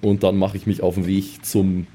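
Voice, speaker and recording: Mellow, fairly low male player. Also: monotone, moderate pace, a little hiss or hum. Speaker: player in a tabletop audio play. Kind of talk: casual improvised role-play chat.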